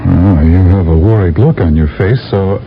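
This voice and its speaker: A man's voice: sits low, moderate pace. An actor playing a modest space captain and intelligence agent, polite and deferential toward his general, in a 1970s radio drama. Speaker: intelligence operative. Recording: dull lo-fi old radio broadcast with faint hiss.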